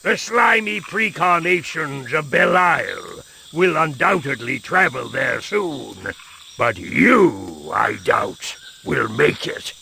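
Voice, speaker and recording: Slow, gravelly, foreboding temple gatekeeper. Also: male voice, fairly high pitch, very animated, sharp tone, a little hiss or hum, full character voice. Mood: scornful. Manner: theatrical, slow, drawn-out warnings. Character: eccentric male temple gatekeeper, scornful, foreboding. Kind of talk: video game cutscene acting.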